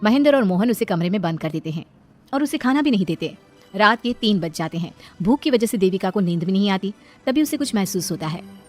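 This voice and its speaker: A woman's voice: medium pitch, animated, a little fast, a little mellow, soft background music. A woman reading out a scripted moral story as its narrator.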